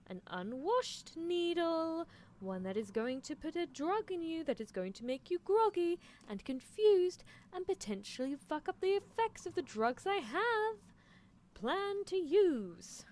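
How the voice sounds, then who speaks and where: Woman playing a high-voiced, playful mad scientist girl. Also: a little mellow, full character voice. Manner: teasing, clinical, patronizing, mock-polite threats. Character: playful, possessive young female mad scientist experimenting on captive. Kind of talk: improvised roleplay ASMR livestream.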